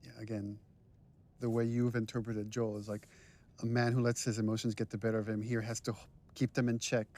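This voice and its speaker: A man's voice: on the low side, level tone, fairly quick, dark tone. A man giving casual director's commentary on a game in a thoughtful, explanatory way.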